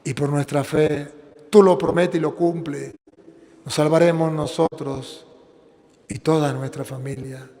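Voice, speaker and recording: Venezuelan priest preaching in a reverberant hall. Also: male voice, fairly high pitch, fairly even intonation, dark tone. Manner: preaching with slow, emphatic stresses, then plain appeal. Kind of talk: sermon and prayer.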